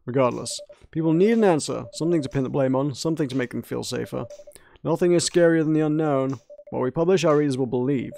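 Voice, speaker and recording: A man's voice: medium pitch, quick pace, vocal fry, dark tone, a little hiss or hum, lightly acted. A man voicing a stern, calculating newspaper editor in a game, reading the lines aloud in a matter-of-fact way.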